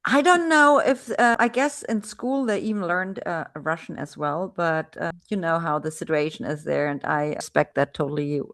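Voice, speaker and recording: A woman from Germany with a German accent, hosting a relaxed video-call interview in English and talking in a chatty way with many restarts. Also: fairly low pitch, moderate pace, few pauses. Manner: chatty, frequent restarts and fillers. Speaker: podcast host.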